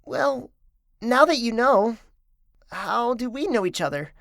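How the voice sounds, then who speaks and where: Woman playing a lively little furry boy creature. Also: a little high, takes it slowly, gravelly, dry recording, studio quality, full character voice. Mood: curious, eager. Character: curious, good-natured small furry male creature, amnesiac cursed boy. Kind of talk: animated web series voice acting.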